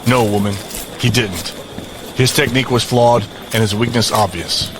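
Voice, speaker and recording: An actor playing a cocky, brutal fighter boss in an audio drama, curt, smug and domineering. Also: male voice, medium pitch, moderate pace, noisy background, natural voice. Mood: smug menace. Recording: music behind. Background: music.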